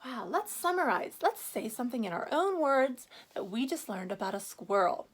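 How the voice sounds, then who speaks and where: A woman speaks as a teacher to young children in a reading lesson. Her voice sits somewhat high and swings widely in pitch. She instructs step by step, prompting with questions and stressing words expressively. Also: very animated, normal speed, poor audio. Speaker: first-grade teacher.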